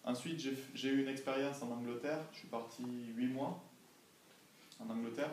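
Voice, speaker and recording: A young man answers questions in an informal classroom interview. He speaks at a medium pitch in a careful, didactic way, checking often that he is followed. The room is echoey, with faint noise behind him. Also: steady tone, moderate pace, distant mic. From France. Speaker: language assistant being interviewed by students.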